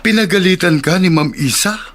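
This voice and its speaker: A kindly older Filipino man with a notably high voice. He is folksy and reassuring, a soothing adviser in a radio drama. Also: a little fast, a bit bright, soft background music. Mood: concerned, reassuring. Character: kindly older male co-worker giving soothing advice.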